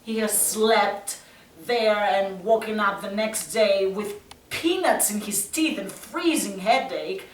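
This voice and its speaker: A woman acting a tense, troubled youth haunted by violent parents in a halting, intense stage monologue. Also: mid-range voice, close to an everyday voice. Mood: bitter. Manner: slow, halting, intense, from hushed to loud. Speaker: actress performing a solo monologue. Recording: roomy, distant mic, faint noise.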